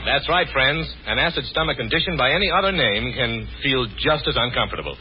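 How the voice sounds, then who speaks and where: A man's voice: mid-range voice, lively intonation, brisk, faint music behind. A male radio announcer reading scripted advertising and narration in a 1940s style. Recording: muffled 1940s radio recording.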